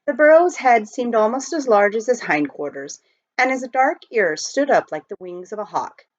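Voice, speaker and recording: A woman reading a children's novel aloud in an expressive storytelling style. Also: on the high side, normal speed. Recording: dull lo-fi home recording.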